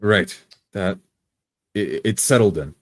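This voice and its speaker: A man playing a tabletop roleplaying game, speaking in a halting, deliberate way with repeated words. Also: on the low side, no room sound.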